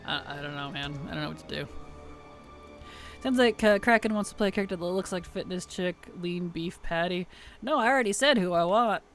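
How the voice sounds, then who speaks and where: A woman game streamer chatting casually and playfully on a livestream, her tired voice starting to weaken and turn gravelly. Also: fairly low pitch, lively intonation, fairly quick, soft background music. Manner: playful, teasing, chuckles often. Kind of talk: casual livestream chat over gameplay.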